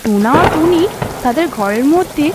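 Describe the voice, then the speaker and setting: A woman's voice: sits high, lively intonation, moderate pace, heavy background noise. A woman narrating a scripted children's story as a storyteller.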